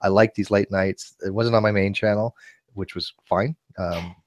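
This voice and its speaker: A man's voice: lowish voice, moderate pace, no room sound. A man hosting a casual late-night online hangout, tired and often laughing as he tells stories. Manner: laughs often, animated storytelling. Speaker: host.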